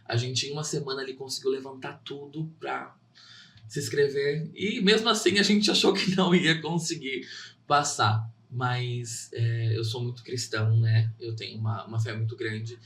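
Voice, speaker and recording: A young man talks about his theater work as a guest, earnest and heartfelt. His mid-pitched voice sounds roomy and a little distant from the mic. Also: steady tone, moderate pace, a bit bright. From São Caetano, Brazil. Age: young adult. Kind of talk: interview answers.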